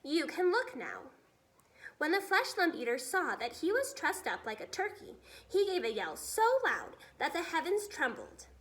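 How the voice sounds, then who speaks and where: A woman reading a children's story aloud as expressive scripted narration. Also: high-pitched, normal speed, hardly any pauses. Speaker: storybook reader.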